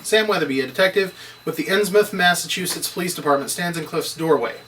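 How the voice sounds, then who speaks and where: Guy reading script directions matter of fact, crisp short phrases, sharp tone, bit far off w faint noise. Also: on the high side, quick pace. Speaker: stage-direction reader. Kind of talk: scripted screenplay narration at a table read. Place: indoor table read, recorded on camera. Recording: distant mic, faint room noise.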